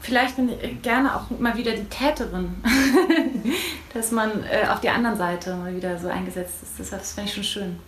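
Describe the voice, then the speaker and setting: A woman's voice: mid-range voice, normal speed. A woman actress answers interview questions eagerly and laughs easily. The recording sounds roomy and echoey, with faint noise in the background. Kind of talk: interview conversation. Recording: echoey room with light noise.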